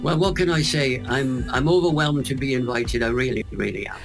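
A man's voice: mid-range voice, fairly quick, gravelly, music in the background. An older Welsh man, an evangelist guest on a radio interview, telling stories emphatically with humour. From Wales. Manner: emphatic, animated storyteller with humour.

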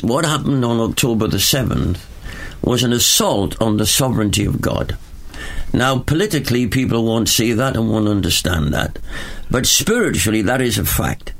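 A Welsh man in his seventies, a pastor who is a studio guest, preaching emphatically in an interview. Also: medium pitch. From Wales. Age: 70s. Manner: emphatic, preacherly, jokes with a chuckle.

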